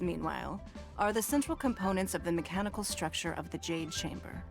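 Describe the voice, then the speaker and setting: An actress playing a composed, powerful businesswoman who speaks in measured, formal, authoritative phrases. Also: lowish voice, natural voice. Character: poised, powerful businesswoman leader, exacting and composed. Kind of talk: video game voice acting. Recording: background music under dialogue.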